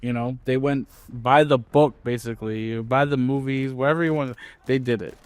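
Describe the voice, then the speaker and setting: A man's voice: medium pitch, steady tone, moderate pace, smooth, no room sound. A man co-hosting a podcast, talking in an easygoing, hesitant way with many fillers and restarts. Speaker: podcast co-host. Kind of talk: casual chat.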